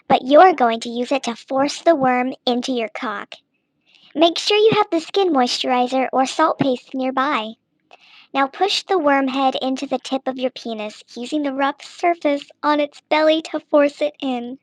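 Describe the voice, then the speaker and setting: A woman's voice: sits high, no echo. A woman casually reading a how-to guide aloud in a mock-instructional manner. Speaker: narrator reading an online text aloud.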